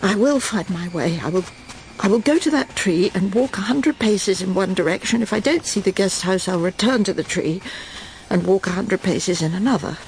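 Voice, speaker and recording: A woman's voice: medium pitch, moderate pace, a little mellow, a little hiss or hum, close to an everyday voice, rough recording. An actress playing a proper middle-aged Englishwoman in a radio drama, with an English accent. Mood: anxious. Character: smug middle-aged Englishwoman, lost and breaking down.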